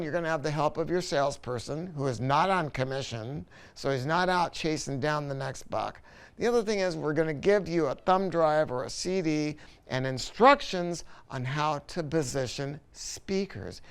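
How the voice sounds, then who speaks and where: A man's voice: on the high side, clean tone. A man presenting a casual product review in a folksy, colloquial, emphatic salesman's way. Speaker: audio shop presenter reviewing a loudspeaker.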